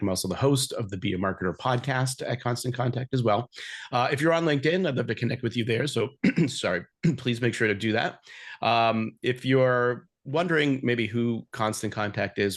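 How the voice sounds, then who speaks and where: Upbeat man introducing a webinar. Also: on the low side. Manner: friendly, upbeat, salesy, filler words. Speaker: company marketing director, podcast host. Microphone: video call.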